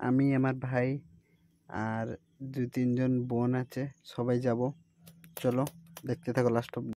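Young male travel vlogger, mid pitch, little variation, recorded on a phone with clear outdoor noise. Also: completely flat delivery, moderate pace. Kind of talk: casual travel vlog narration to viewers. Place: outdoors at a seaside tourist spot. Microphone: handheld phone.

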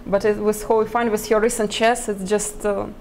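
A woman in her thirties whose first language is Russian answers an interviewer's questions in careful, halting English. She pauses often and breaks into short laughs between phrases. A faint hiss sits under her voice, recorded on a desk mic in an indoor press area. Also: medium pitch, level tone, darkish tone. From Ukraine. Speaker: chess player guest. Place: indoor press area before a sponsor backdrop. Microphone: desk microphone.

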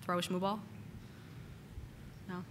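Slightly hoarse woman giving a tech talk. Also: fairly low pitch, little pitch movement, slow pace, a bit bright, slight background noise. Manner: casual, frequent "uh" and "um" fillers. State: getting over a cold, slightly hoarse. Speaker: conference speaker. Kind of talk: technical conference talk. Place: conference hall.

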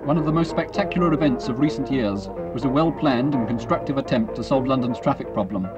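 A man's voice: medium pitch, brisk. A British man reading scripted narration for a newsreel. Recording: dull old newsreel film soundtrack. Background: loud music.

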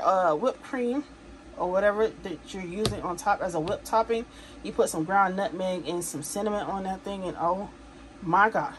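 A woman's voice: medium pitch, slight background noise, rough recording. A woman casually chatting to camera as she shows her grocery haul, talking to viewers as "you guys" and "y'all". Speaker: vlogger showing a grocery haul.

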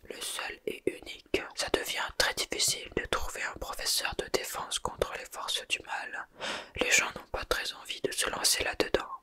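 A reader whispering the lines of a gruff, grumbling male half-giant groundskeeper in a storybook. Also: normal speed, pushed and bright, lightly acted. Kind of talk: ASMR whispered storybook reading.

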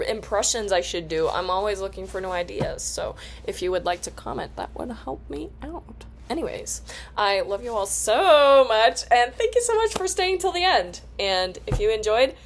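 A woman's voice: mid-range voice, animated, moderate pace, a little hiss or hum. A woman in her twenties, a video creator, chatting casually and chirpily to her viewers in a room at home. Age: 20s. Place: small bright room at home, indoors.

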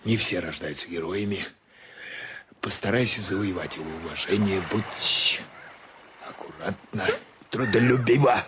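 A man's voice: low voice, a little slow. An actor playing a fatherly, well-meaning ship's captain in a radio play, hesitant and often repeating his words. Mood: lecturing, fatherly. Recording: noisy radio drama recording.

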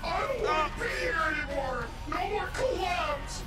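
A woman voicing a loud, exasperated talking monster dog in an improvised comedy dub, ranting. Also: very high-pitched, sing-song, moderate pace, roomy sound. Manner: shouting, ranting. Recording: distant, game music behind.

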